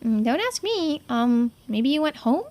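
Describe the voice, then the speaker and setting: Woman as bubbly young girl, cheeky, high voice, swooping pitch, game character. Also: big pitch swings, measured pace, a little mellow. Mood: breezy, cheerful. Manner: bubbly, informal.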